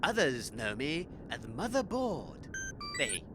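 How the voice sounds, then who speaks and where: An eccentric male electro-mystic for a comedy audio drama. His somewhat high voice swings extravagantly as he makes grand, flowery pronouncements over clear background noise. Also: huge pitch swings, slow pace, exaggerated voice. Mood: grandly courteous. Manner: pompous, theatrical, flowery. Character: eccentric self-important male wasteland techno-wizard, electro-mystic cyborg.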